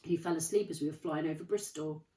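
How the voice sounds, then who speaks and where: Woman doing a big soft-hearted giant man. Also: sits low, fairly even intonation, fast talker, recorded from a distance, close to an everyday voice. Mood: eager. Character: huge, soft-hearted male giant, tearful. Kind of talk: storybook read-aloud. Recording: roomy, from off the mic.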